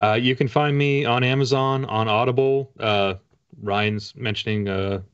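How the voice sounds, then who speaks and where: A man's voice: medium pitch, steady tone, unhurried, clean tone. A man in his forties chatting casually as a guest author, rambling and self-deprecating, over a video call. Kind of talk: casual interview chat. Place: home room with bookshelves, video call. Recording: dull, lo-fi call audio.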